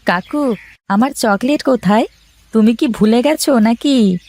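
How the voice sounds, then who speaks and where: A man's voice: very high voice, measured pace, darkish tone, full character voice. A man voicing a cheerful, chocolate-loving little village boy in a cartoon. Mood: pleading. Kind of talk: Bengali cartoon story dialogue.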